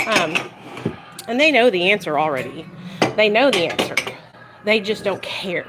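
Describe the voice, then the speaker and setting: A woman's voice: medium pitch, lively intonation, moderate pace. A woman vlogging about recovery, talking passionately and bluntly with a strong voice and free swearing. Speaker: vlogger speaking on addiction recovery. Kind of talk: casual direct-to-camera talk. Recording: clear background noise with clicks and kitchen clatter.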